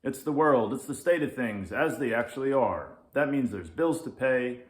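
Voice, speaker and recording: A man's voice: mid-range voice, lively intonation, moderate pace, pitched above the performer's own voice, lightly acted. A man plays a grumpy, hyper-vigilant lynx from a children's storybook. He scolds impatiently and emphatically, in a raised voice that swoops about. The room adds a noticeable echo. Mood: emphatic, lecturing. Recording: roomy echo.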